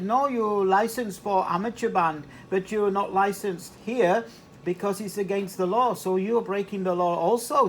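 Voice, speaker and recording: Guy on ham radio, casual hesitant chat, repeats himself, bit of static. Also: high voice. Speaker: amateur radio operator. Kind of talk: casual two-way radio chat with operator jargon. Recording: slightly noisy two-way radio transmission.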